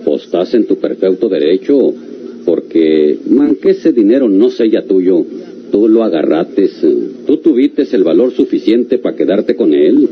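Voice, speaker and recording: An actor playing a greedy, wheedling, falsely friendly rancher with a rural northern Mexican accent in an old radio drama. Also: male voice, deep voice, big pitch swings. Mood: coaxing. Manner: coaxing, drawn-out folksy talk with interjections. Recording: dull, noisy old radio recording.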